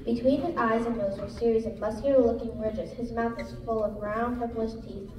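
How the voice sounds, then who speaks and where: A woman's voice: on the high side, steady tone, fairly quick, a crowd in the background. A female student narrator reading a scripted story aloud in a reader's theater show. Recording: echoey room, distant mic, background noise.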